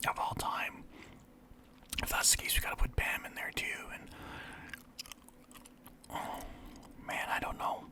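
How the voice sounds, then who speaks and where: A man's voice: a little slow, pauses often. A young man from Canada whispering through a casual, rambling list of favorites as a vlogger. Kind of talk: whispered ASMR list chat.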